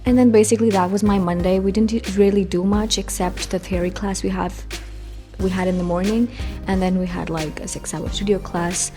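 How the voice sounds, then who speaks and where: Brief: young woman, Urdu native speaker, chatty art student vlogger switching between English and Urdu phrases, mid-pitched, music playing under her. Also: fairly even intonation, normal speed. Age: young adult. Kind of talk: casual vlog narration.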